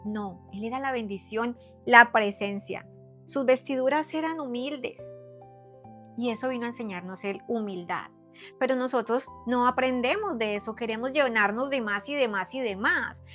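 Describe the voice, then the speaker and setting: Colombian lady w a highish voice sharing her faith testimony, emphatic, repeats herself, faint music behind. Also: moderate pace, fairly bright tone. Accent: Colombian, from Cali. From Cali, Colombia. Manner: earnest, emphatic, repeats phrases, rhetorical questions. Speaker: psychotherapist giving faith talk. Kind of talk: personal testimony and devotional talk to followers.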